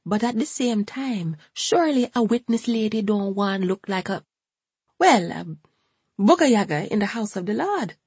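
A woman's voice: medium pitch, expressive, moderate pace, darkish tone, husky, dry recording, pitched above the performer's own voice. A woman voicing a nervous, devout elderly grandmother with an eager, sing-song way of talking. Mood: anxious.

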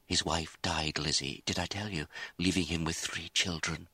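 Older high-society mother from a novel, read by a male narrator, polite, pleading, raised pitch, dry sound. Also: sing-song, moderate pace, bright, forceful tone, no echo, heavily acted. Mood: genteel. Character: genteel older mother, scheming society lady. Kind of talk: audiobook character acting.

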